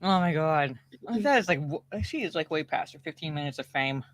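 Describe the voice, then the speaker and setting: A woman's voice: sits low, lively intonation, a little fast. A woman co-hosting a casual stream chat, blunt and exasperated, swearing freely as she rants. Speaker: co-host and streamer.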